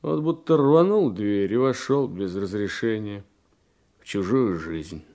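An actor playing a weary, troubled young police detective in a Russian radio drama, emotional and hesitant in a confessional way. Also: male voice, lowish voice, unhurried, darkish tone. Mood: uneasy.

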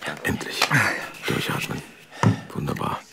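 A man's voice: a little slow, very noisy, close to an everyday voice. A man acting a proud, stiff, middle-aged German father of the 1960s in a radio drama. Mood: relieved, brooding.

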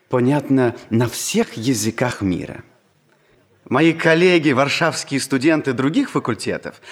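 An actor playing a cheerful young Polish student who narrates a radio comedy, addressing listeners with playful, mock-ceremonial courtesy. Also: male voice, mid-range voice, breathy edge. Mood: courteous, playful. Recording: 1960s radio play with music behind.

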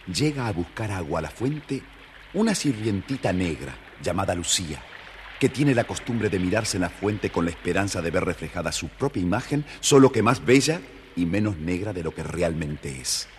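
A man narrating a scripted children's story in a theatrical, expressive way. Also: sits low, normal speed, in a large hall, a little hiss or hum.

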